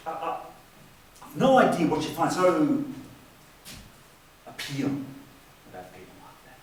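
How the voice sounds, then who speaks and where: A man playing a bitter, judgmental brother in a stage play, speaking haltingly and repeating words as he accuses. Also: fairly high pitch, huge pitch swings. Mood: resentful. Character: bitter, judgmental, grieving brother, stammering with resentment. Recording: very echoey hall, distant mic, faint hiss.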